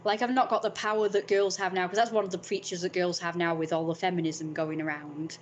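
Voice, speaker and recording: A woman's voice: lowish voice, fairly even intonation, fairly quick, slight background noise. A young woman, talking in a frank, rambling vlog monologue and swearing freely.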